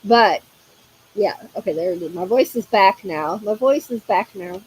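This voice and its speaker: A woman hosting a crafting livestream, chatting casually and reading comments aloud. She is a bit hoarse from allergies, uses a lot of fillers and often breaks into laughter. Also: mid-range voice, normal speed, darkish tone, a little hiss or hum, rough recording.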